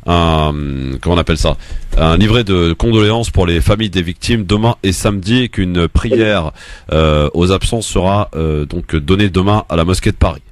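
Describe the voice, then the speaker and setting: A man hosting a live call-in radio show, briskly taking callers and relaying news. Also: sits low, dry recording. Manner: brisk, takes calls, reads out phone number.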